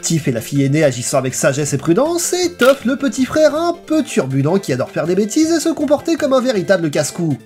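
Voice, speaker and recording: A man's voice: sits high, wildly swooping pitch, fast talker, hardly any pauses, darkish tone. A man reading a scripted video essay in a playful, theatrical storytelling manner. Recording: voice-over with music behind. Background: background music.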